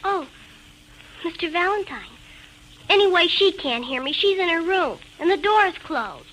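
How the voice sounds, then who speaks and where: A sulky little girl, really high bright voice, old radio play. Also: animated, normal speed, heavily acted. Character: sulky, defensive young girl, proud of father. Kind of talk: 1940s-50s radio acting. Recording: old noisy radio recording.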